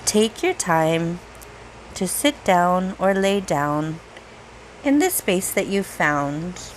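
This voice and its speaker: A woman leading a guided meditation in a gentle, unhurried manner, leaving long pauses between phrases. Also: lowish voice, big pitch swings, slight background noise, rough recording. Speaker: meditation guide, reiki teacher. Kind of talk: guided meditation, slow soothing narration.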